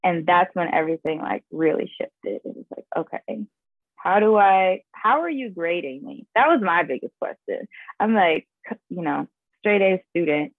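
A young woman, a guest actress, chatting in an interview and telling her story in an animated way, often laughing and saying "like". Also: fairly low pitch, moderate pace, dry recording, phone-quality sound. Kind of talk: casual interview chat.

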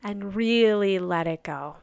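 Very slow, soft-spoken meditation guide woman. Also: medium pitch, gravelly, clean tone, dry recording. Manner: soft, slow and soothing, trailing off into near-whispers.

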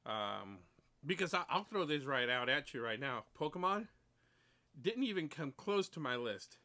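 A man in his late forties hosts a casual livestream chat. He rambles, restarts his sentences and laughs often. His speaking voice sits slightly high for a man. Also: sing-song, moderate pace, pushed and bright. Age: 40s. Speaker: stream host.

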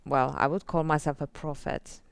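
Casting note: Finnish woman in her forties, low voice, smooth and clear, soft-spoken guest sharing her testimony, dry close sound. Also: steady tone, normal speed, dry recording. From northern Finland. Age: 40s. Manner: soft-spoken, many fillers like "like" and "uh". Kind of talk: casual testimony interview.

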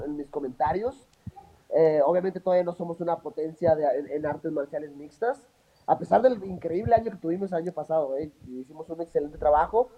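A Mexican man with a Mexican accent and a fairly high voice, chatting easily as an interview guest. He talks casually and tells his stories loosely, leaning on small fillers as he goes. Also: lo-fi sound. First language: Spanish. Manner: relaxed and chatty, with many "o sea" and "eh" fillers. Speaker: interview guest, professional fighter.

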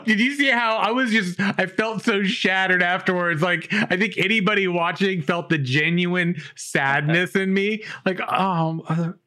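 A man's voice: unhurried, hardly any pauses. A man in casual gaming chat, telling stories with lots of swearing and acted-out reactions. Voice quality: says he has a pretty deep voice. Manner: animated, profane storytelling with acted-out reactions.

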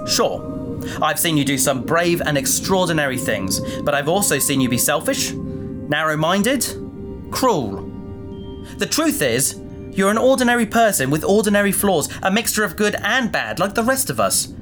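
A man's voice: fairly high pitch, sing-song, normal speed, over music. A man playing a rational, sceptical crew mate who lectures in an articulate, emphatic way in a sci-fi audio drama. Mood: frank, reasoning.

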